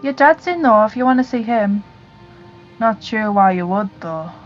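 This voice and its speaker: A woman's voice: mid-range voice, expressive, moderate pace, dull audio, faint music behind, close to an everyday voice. A woman playing a bitter, sceptical middle-aged village mother in an audio drama, curt and sarcastic.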